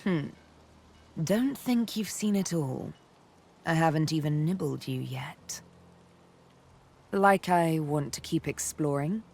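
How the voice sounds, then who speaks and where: Sultry elf assassin lady from a fantasy game, low and slow, teasing and a bit dangerous. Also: lively intonation, darkish tone, full character voice. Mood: seductive, teasing. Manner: sultry, breathy, deliberate, teasing. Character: seductive elven assassin woman, intimate and menacing. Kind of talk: dramatic fantasy game voice acting.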